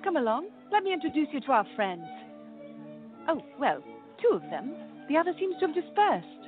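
A woman's voice: high voice, very animated, moderate pace, phone-quality sound, over music. A woman playing a gracious Regency lady novelist in a radio play, polite and formally mannered. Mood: warm, reassuring.

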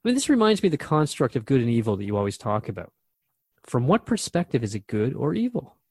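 A man's voice: medium pitch, big pitch swings, fast, darkish tone, slightly rough, no room sound, clean studio sound. A man acting as a radio host in a conversational drama, talking in an inquisitive, reflective way.

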